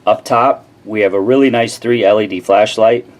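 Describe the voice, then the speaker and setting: A man's voice: on the low side, steady tone, normal speed. A man giving a casual, plain-spoken product review and demonstration in an informal way. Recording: clearly noisy background.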